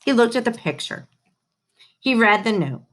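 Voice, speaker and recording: A woman reading a children's story aloud in an animated, emphatic way, pausing to comment to her listeners. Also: fairly high pitch. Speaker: storybook reader. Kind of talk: expressive children's read-aloud with asides to listeners.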